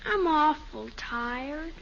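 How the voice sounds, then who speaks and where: A female performer playing a sleepy, ailing little girl in an old-time radio family drama, plaintive and drawn-out. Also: high-pitched, animated, gravelly, a bit bright, heavily acted. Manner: plaintive, drowsy, drawn-out delivery. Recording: dull, noisy old radio recording.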